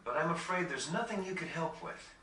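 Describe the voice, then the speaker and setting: An actor playing a kind, modest, easygoing young ship captain in a video game, courteous and genial. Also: male voice, fairly high pitch, fairly quick, pushed and bright, echoey room, recorded from a distance, a little hiss or hum. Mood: gracious, easygoing. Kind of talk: video game cutscene acting.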